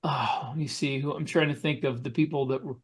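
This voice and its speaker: A man co-hosting a podcast, talking casually and haltingly as he searches for words. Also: medium pitch, steady tone, a crowd in the background. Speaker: podcast co-host and author. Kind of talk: casual conversational recollection.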